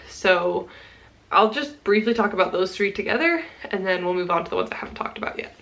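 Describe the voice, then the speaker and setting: A woman's voice: medium pitch, fairly bright tone. A young woman with a stuffy nose from a cold, chatting casually about her month's reading at home, with many ums and small laughs. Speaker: book vlogger. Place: home room full of plants.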